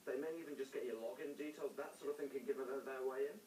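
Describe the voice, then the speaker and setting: A man's voice: medium pitch, steady tone, fast, warm tone, roomy sound, distant mic, a little hiss or hum. A man with a New Zealand accent interviewing in an informal, jokey way as a breakfast television host. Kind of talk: conversational broadcast interview.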